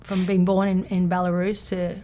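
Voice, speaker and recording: A woman co-hosting a podcast, asking questions and prompting her guests with quick follow-ups. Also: lowish voice, level tone, dark tone, a little hiss or hum. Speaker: podcast co-host. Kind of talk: interview questions.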